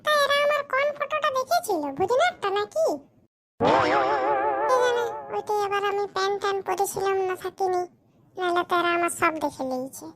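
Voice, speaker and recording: A man voicing an excitable, cheeky cartoon villager in comedy dialogue, complaining colloquially through a pitched-up effect. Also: a little fast, faint music behind, full character voice. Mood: indignant. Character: squeaky, excitable cartoon villager at a matchmaking visit. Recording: clearly noisy audio with clicks.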